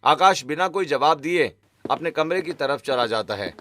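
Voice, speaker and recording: A man's voice: on the high side, animated, normal speed, a bit bright. A man reading scripted narration for a cartoon family story.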